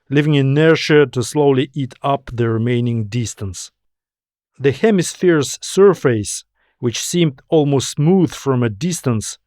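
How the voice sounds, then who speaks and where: Slow-paced male sci-fi narrator. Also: mid-range voice, a little mellow, no room sound, studio quality. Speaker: author and narrator. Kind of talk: scripted science fiction story narration.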